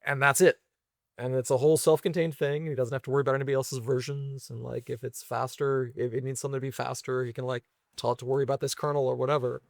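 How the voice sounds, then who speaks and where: A man's voice: medium pitch, flat delivery, fast talker, creaky, no room sound, clean studio sound. A man talking casually through technical ideas as an interview guest, reasoning aloud with hedges and tag questions. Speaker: guest, venture investor and software engineer.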